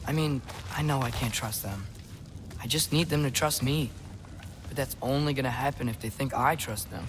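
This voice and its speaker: A man's voice: medium pitch, normal speed, background noise, natural voice. A young man playing an earnest, guarded teenage boy hero in a video game, hesitant and stammering when flustered. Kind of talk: naturalistic video game acting.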